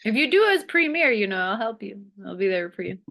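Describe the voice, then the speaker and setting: Woman interviewer with very lively pitch. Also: a little fast, vocal fry. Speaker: interviewer, magazine host. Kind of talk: casual chat interview.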